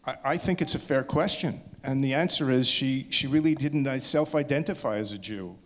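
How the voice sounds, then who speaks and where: A man answers questions informally as a filmmaker at a screening. His voice sits at an average pitch and rings in a reverberant hall. He speaks haltingly, often circling back to rephrase. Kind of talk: informal audience Q&A. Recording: echoey hall, long reverb.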